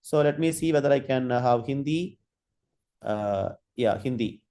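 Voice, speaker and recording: Give me a man leading a faculty workshop, talking haltingly through a software demo. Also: mid-range voice. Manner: halting, many fillers and restarts while demonstrating. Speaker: guest lecturer. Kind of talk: online faculty training lecture.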